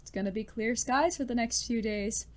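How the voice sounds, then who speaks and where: A woman's voice: on the high side, moderate pace, lightly acted. A woman playing a pleasant, chatty schoolteacher with a knowing air in an audio drama, polite and breezy.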